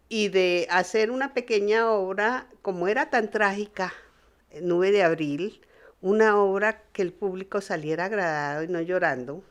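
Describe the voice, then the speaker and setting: A woman explaining conversationally, adding her thoughts piece by piece. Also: medium pitch.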